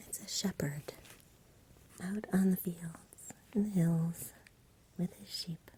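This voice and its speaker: A woman speaking in a soft, soothing whisper in an ASMR bedtime roleplay, gentle and unhurried as if talking to a child. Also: fairly low pitch, fairly even intonation, breathy edge. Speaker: ASMR performer playing a caregiver at bedtime.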